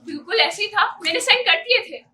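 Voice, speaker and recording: A woman's voice: extremely high pitch, expressive, brisk, sharp tone, exaggerated voice. A student actress, a young woman with a Pakistani accent, playing a defiant, indignant wife in an amateur stage drama, curt and dismissive. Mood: guarded. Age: young adult. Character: defiant, indignant married woman under pressure. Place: seminar hall stage. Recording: echoey hall, distant mic.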